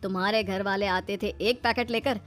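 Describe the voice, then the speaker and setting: A woman's voice: mid-range voice, steady tone, moderate pace, a bit bright, soft background music, voice pushed down, close to an everyday voice. A woman voicing a teasing, sarcastic young husband in a comedy cartoon. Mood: mocking. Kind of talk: Hindi comedy cartoon story acting.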